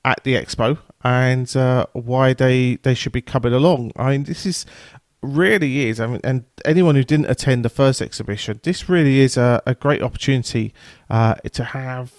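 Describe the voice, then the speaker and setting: A British man hosting a podcast in an informal, halting way, with frequent filler sounds as he presents and interviews. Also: mid-range voice, steady tone, dry recording, clean studio sound. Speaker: podcast host and news presenter.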